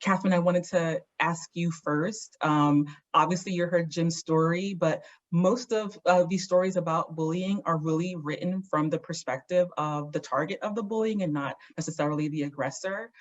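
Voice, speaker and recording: A woman's voice: fairly low pitch, fairly even intonation, moderate pace, few pauses. A woman moderating a conversation as host, heard in dull, lo-fi audio. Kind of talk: moderated interview talk.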